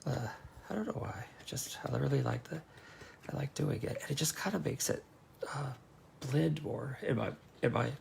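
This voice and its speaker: A man demonstrating card making in a casual, rambling way, with a hoarse, sometimes nasal voice. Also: medium pitch, moderate pace, slight background noise. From North Carolina. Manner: chatty and rambling, frequent fillers and restarts.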